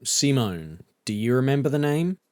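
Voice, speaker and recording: A man playing a patient, encouraging school teacher in a visual novel, speaking in a measured, instructive way. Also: medium pitch, sing-song, a little mellow, dry recording, clean studio sound, close to an everyday voice. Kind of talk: visual novel character dialogue, classroom address.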